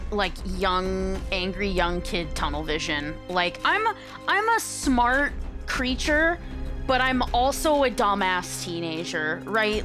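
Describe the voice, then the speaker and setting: A woman playing in a tabletop roleplaying game, chatting casually and wryly with some swearing. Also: mid-range voice. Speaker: guest player in a tabletop game. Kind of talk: casual in-character game chat.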